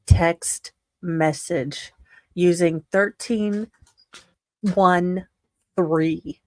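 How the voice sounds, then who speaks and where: A woman reading a court ruling aloud as comedy, haltingly, with exasperated asides. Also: fairly low pitch, fairly even intonation, darkish tone, dry recording. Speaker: reader in a comedy livestream.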